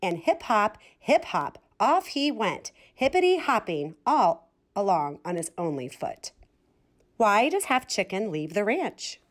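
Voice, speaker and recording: A woman reading a children's storybook aloud in an expressive style. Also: medium pitch. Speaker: storybook reader.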